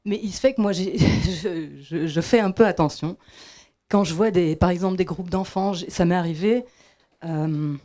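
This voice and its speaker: A woman from Belgium, asking a reflective question from the audience with many pauses and restarts. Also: fairly low pitch, dark tone. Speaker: audience member. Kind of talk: question from the floor.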